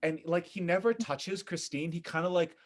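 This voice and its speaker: A man chatting casually as a podcast guest, with an animated, theatrical way of talking. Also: high-pitched, normal speed. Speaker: former actor.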